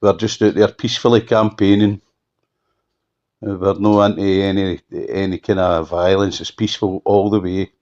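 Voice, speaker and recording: Low-voiced Scottish man on a dull phone line. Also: level tone, darkish tone. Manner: halting, repetitive, emphatic restatements. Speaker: campaigner being interviewed. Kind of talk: unscripted phone interview. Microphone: telephone.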